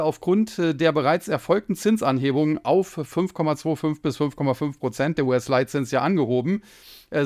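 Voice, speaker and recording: A man from Germany hosting a solo finance podcast, explaining at length with many hesitations, his voice slightly hoarse from a cold. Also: a little high. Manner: explanatory, frequent filler hesitations. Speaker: podcast host.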